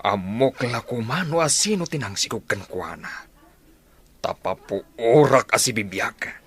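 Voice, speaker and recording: A man's voice: mid-range voice, animated, measured pace, fairly bright tone, husky. A man voicing a stern, middle-aged Filipino father with an Ilocano accent, harsh and scolding in a radio drama. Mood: angry, scornful. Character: stern, angry father who disowned his pregnant daughter.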